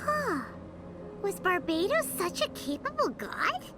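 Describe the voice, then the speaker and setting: A woman voicing a tiny, childlike fairy companion in a video game, squeaky, inquisitive and expressive. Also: unhurried, music in the background, exaggerated voice. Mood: surprised. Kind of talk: video game dialogue acting.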